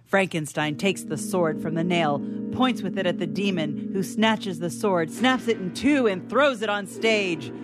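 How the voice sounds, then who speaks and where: A narrator reading stage directions in a formal, measured, dramatic style for radio theatre. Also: mid-range voice. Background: music.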